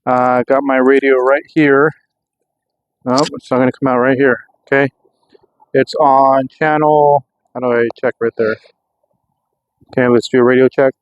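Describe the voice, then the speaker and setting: A man's voice: medium pitch, level tone, a little slow, pauses often, lo-fi sound. An American man talking casually and off the cuff as a vlogger testing gear, rambling and thinking aloud. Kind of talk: casual unscripted vlog narration. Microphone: clip-on microphone.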